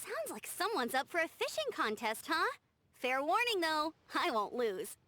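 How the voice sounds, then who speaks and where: A woman's voice: high voice, very animated, moderate pace, pushed and bright, slight background noise, full character voice. A woman voicing an upbeat, competitive young woman with a breezy, informal manner in a video game. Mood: playful challenge. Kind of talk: scripted game voice acting.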